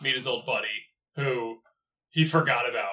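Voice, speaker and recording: A man's voice: mid-range voice, moderate pace, recorded from a distance, a loud crowd. A man chatting casually and wryly as a podcast panelist, joking along. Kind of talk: casual comedic chat.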